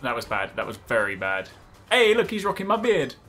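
A man in his thirties giving casual, chatty, excitable gameplay commentary, often repeating short phrases. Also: medium pitch, a little fast, soft background music. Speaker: gaming video host. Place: indoors at a desk, gaming setup.

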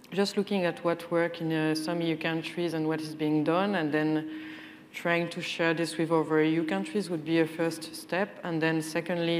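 A woman answering on a conference panel in a halting way, through a lapel mic. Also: low voice, slight background noise. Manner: halting, many fillers and restarts. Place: large conference hall stage. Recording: reverberant hall, faint ambience.

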